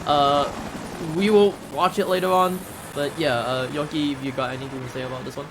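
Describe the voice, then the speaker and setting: A man's voice: on the high side, fairly even intonation, brisk, background noise. A man giving casual, hesitant live commentary as he speedruns a video game, often repeating words. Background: game music.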